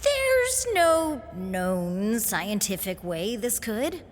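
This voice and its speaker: A woman's voice: medium pitch, big pitch swings, very slow, exaggerated voice. A woman playing a nerdy, tech-minded young woman in an audio drama. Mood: focused, curious. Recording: reverberant hall, faint music.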